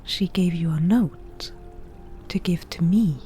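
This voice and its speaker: A woman playing a wry, teasing forest witch in a softly whispered ASMR roleplay, with dry, unhurried delivery. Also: lowish voice, soft background music. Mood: curious, mocking.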